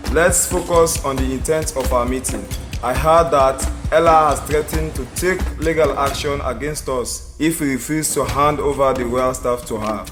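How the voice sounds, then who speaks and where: A man playing a stubborn, resolute village elder in an audio drama, firm and deliberate. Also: fairly high pitch, a bit bright. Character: resolute, stubborn male elder plotting against a queen. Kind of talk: amateur audio drama acting.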